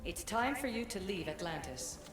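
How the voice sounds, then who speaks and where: An actress playing a calm, grave ancient woman guide in a video game, speaking in a measured, solemn way. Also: mid-range voice, close to an everyday voice. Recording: roomy game audio with music.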